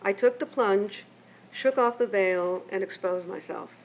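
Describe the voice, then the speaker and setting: An older woman, raised between Mexico and the United States, reads a prepared keynote speech in a measured, reflective way from her pages. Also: mid-range voice. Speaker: keynote speaker and memoirist. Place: lecture event. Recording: muffled lo-fi with faint hiss.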